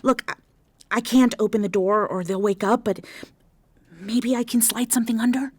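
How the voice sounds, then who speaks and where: An actress playing an anxious, hushed woman trapped in an apartment after a disaster, in an audio drama. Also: on the high side, moderate pace, no echo. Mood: anxious, helpful. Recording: clear background noise.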